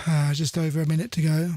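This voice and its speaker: A man's voice: on the high side, flat delivery, brisk, mellow, dry recording, clean studio sound. A man presenting a radio show casually, chatty and rambling, often correcting himself mid-sentence. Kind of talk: casual radio presenting between soul songs.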